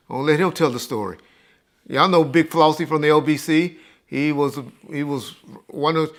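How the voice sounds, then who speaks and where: Higher-pitched American man, casual podcast host. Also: fairly bright tone. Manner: informal, slangy, emphatic. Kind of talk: casual recollection and chat.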